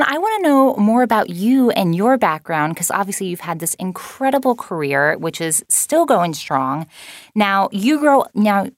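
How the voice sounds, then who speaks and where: A woman's voice: fairly low pitch, no echo, studio quality. A woman interviewing a guest, asking questions with enthusiasm. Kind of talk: casual interview.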